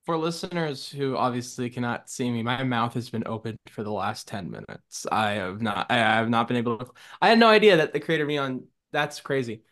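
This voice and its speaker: A man's voice: mid-range voice, brisk, few pauses. Male co-host, mid voice, easily amazed, casual. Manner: excitable, swears, stumbling restarts. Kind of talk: casual podcast chat.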